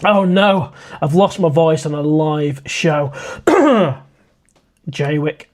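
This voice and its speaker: A man's voice: a little high, expressive, slow pace. A man, hosting a casual live stream and chatting in a friendly way as he reads out viewer comments. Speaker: livestream host.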